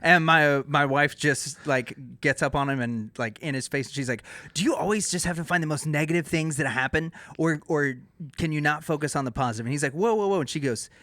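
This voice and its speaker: A man, a pastor from Texas, co-hosting a podcast and telling stories in a casual, lively way. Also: a little fast, no echo. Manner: lively storyteller, drops low and quiet at times.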